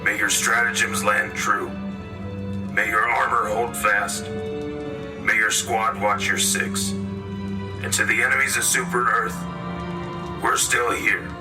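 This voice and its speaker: Weary, resolute male field reporter a man acting, radio drama dispatch, low and terse, slightly distant over music. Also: level tone, takes it slowly, long pauses. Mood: defiant toast. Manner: clipped short phrases, grave and solemn. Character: weary, resolute male war correspondent at the battlefront.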